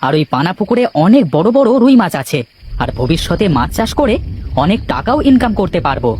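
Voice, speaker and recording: A man's voice: high voice, big pitch swings, brisk, darkish tone, a little hiss or hum. A man voicing a bold, confident young village man in a cartoon, with music underneath. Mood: defiant, confident. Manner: confident, defiant. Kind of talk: cartoon character dialogue.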